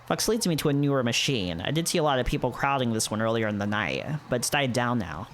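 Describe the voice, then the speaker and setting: Man, mid-pitched voice, visual novel narration, casual host chat, faint music behind. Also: expressive, quick pace, fairly bright tone.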